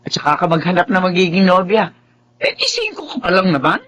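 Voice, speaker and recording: A woman's voice: fairly low pitch, wildly swooping pitch, fairly quick, a bit bright, slight background noise. An older Filipino grandmother in a radio drama, insistent and loving. Her intonation rises and falls freely as she fusses over her grown children. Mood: chiding.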